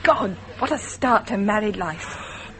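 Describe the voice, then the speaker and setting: A woman's voice: mid-range voice, lively intonation, takes it slowly, a bit bright. A woman playing a jealous, indignant young newlywed wife in a 1970s radio drama. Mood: bitter, accusing. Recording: old radio recording with faint noise.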